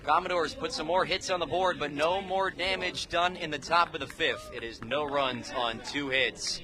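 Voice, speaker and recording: A man's voice: on the high side, moderate pace, crowd noise. A man calling live baseball play-by-play for radio in clipped, telegraphic phrases. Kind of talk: live sports commentary. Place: outdoors at a baseball game.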